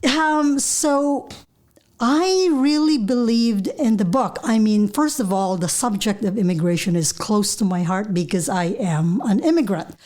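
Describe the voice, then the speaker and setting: A woman's voice: mid-range voice, lively intonation, a little slow, a little mellow. A middle-aged woman from the Philippines with a Filipino accent, answering interview questions as a guest author.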